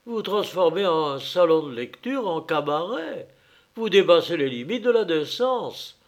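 A man's voice: high voice, animated, unhurried, pitched above the performer's own voice. Man doing a prim indignant bank director.

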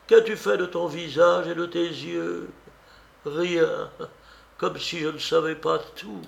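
A man's voice: fairly high pitch, fairly even intonation, slow pace, vocal fry, voice pushed up, full character voice. A man voicing an agitated, affectionate and blunt old aunt in an audiobook, scolding and fussing with emphatic exclamations. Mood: scolding, exasperated. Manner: exclamatory, repeats words emphatically. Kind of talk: dramatised audiobook reading.

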